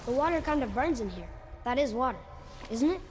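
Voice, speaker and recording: A man's voice: extremely high pitch, lively intonation, brisk, a little hiss or hum. A young male actor playing a curious, earnest boy in a video game. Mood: inquisitive. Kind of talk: video game character acting.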